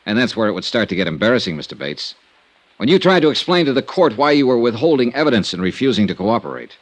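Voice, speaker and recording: Fast, hard-boiled American radio investigator. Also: male voice, mid-range voice, big pitch swings, dry recording. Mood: firm, pressing. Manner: clipped, needling, wry, pressing questioner. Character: brisk, hard-boiled male freelance insurance investigator. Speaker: radio drama lead actor. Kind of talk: Golden Age American radio detective acting.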